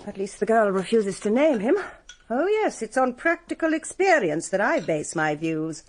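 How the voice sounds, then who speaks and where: An actress playing a prim, moralising English spinster, pompous and disapproving, in a radio drama. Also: female voice, mid-range voice, very animated, moderate pace, a little hiss or hum, close to an everyday voice.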